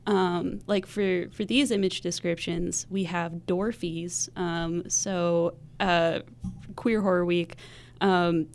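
A woman's voice: lowish voice, fairly even intonation, takes it slowly, gravelly. A woman co-hosting a podcast, chatting casually with frequent ums. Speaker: podcast co-host.

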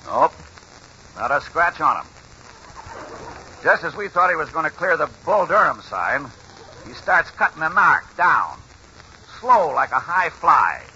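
A man's voice: a little high, sing-song, measured pace, pauses often, sharp tone, dull audio, exaggerated voice. A man playing a folksy old grandfather in a 1940s radio drama, spinning a baseball yarn in colloquial speech. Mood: animated storytelling. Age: older. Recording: noisy, band-limited 1940s radio recording.

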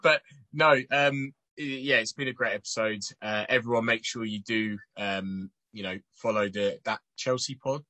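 A man's voice: lowish voice, moderate pace, bright, forceful tone, dry recording. A man guesting on a podcast, easygoing and chatty. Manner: easygoing, laughs often. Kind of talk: casual podcast chat.

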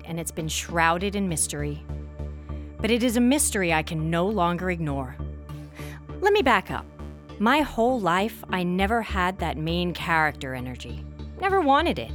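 A woman's voice: on the low side, a bit bright. A young woman playing a sheltered, wry daughter in her twenties in an audio drama, with a self-deprecating, introspective manner. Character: sheltered, wry twenty-three-year-old daughter, a genetics PhD student. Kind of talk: audio drama acting with introspective first-person narration. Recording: music behind the voice.

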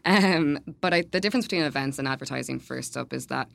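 A woman speaking as a guest on a podcast, answering in a relaxed conversational way with light laughs and frequent fillers. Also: rumbling low, quick pace, vocal fry. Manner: laughs lightly, many ums and kind-ofs. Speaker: events manager, podcast guest. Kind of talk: casual interview answers.

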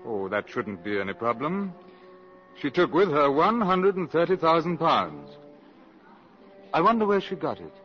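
An actor playing a suspicious embassy official who probes casually and hesitantly in a 1950s radio drama. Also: male voice, fairly high pitch, very animated, normal speed, long pauses, soft background music. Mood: suspicious, probing. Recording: muffled 1950s radio broadcast.

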